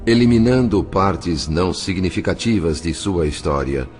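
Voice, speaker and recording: A man with a Brazilian accent narrating a scripted dramatic novel with measured, literary, expressive phrasing. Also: low voice. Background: faint music.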